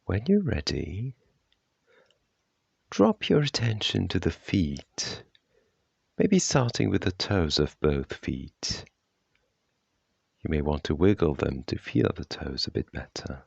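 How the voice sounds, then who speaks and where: Male meditation guide: extremely low, gravelly voice, measured delivery, long pauses between soft instructions. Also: big pitch swings, no room sound, muffled recording. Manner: gentle, unhurried, measured phrasing.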